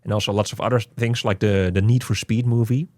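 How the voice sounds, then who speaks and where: A man's voice: on the low side, fairly even intonation, quick pace, darkish tone, no echo, clean studio sound. A man co-hosting a casual game review chat, rambling and joking with many restarts. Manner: rambling, jokey, self-interrupting. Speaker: co-host of a game review show.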